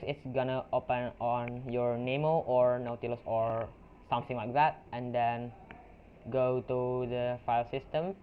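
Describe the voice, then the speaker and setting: A young man from Indonesia with an Indonesian accent, a non-native English speaker walking through a software tutorial in a halting way, with restarts and fillers. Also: mid-range voice. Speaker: tutorial creator. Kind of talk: software screen-recording tutorial. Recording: tinny lo-fi mic with faint noise.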